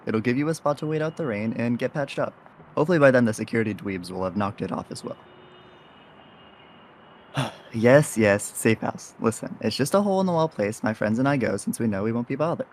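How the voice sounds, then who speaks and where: A man's voice: medium pitch, lively intonation, brisk, slight background noise, natural voice. A man playing an easygoing, cheeky young rogue in an audio drama, talking in casual, wry slang. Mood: urgent, coaxing, then reassuring, wry. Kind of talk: audio roleplay drama acting.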